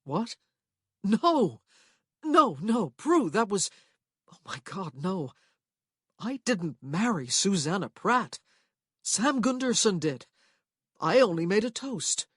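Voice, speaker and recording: A woman voicing an earnest, lovesick young gentleman who speaks with emphasis. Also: medium pitch, very animated, takes it slowly, pauses often, slightly rough, no room sound, studio quality, full character voice. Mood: urgent.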